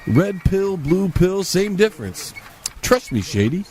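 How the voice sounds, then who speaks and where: A man's voice: sits high, sing-song, measured pace, darkish tone. An actor playing a hapless, stubborn, indecisive male adventurer bickering in a comedy, faint noise behind. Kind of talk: comic bickering.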